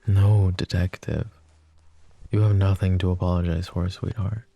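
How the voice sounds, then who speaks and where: A man doing a deep, gravelly lovesick mafia boss. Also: level tone, normal speed, no room sound. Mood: tender, yearning. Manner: soft, intimate, confessional, often whispering. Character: lovesick, possessive young male mafia boss. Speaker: voice actor. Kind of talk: ASMR roleplay.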